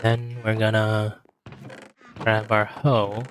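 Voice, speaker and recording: A man with a hoarse voice, quietly talking himself through a game as he plays, in a relaxed, slow, muttering way. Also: on the low side, a little hiss or hum. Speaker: gaming video host. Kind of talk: casual gameplay commentary.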